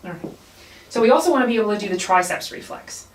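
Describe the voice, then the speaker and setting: A woman's voice: medium pitch, animated, fast. A woman giving an explanatory hands-on clinical demonstration as an instructor, repeating key points. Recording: echoey room, somewhat distant mic.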